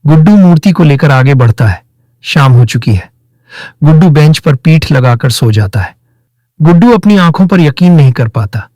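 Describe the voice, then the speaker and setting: A man reads a scripted children's moral tale as narrator. His voice sits a little high for a man, and the recording is dry, with no room sound. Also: animated, moderate pace, a little mellow, no room sound.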